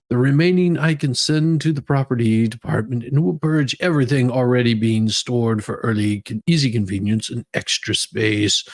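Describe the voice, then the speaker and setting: A man giving a mock-dramatic reading as a demanding woman inmate pleading her own case, with halting, emphatic delivery. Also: medium pitch, darkish tone, natural voice. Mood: earnest, insistent.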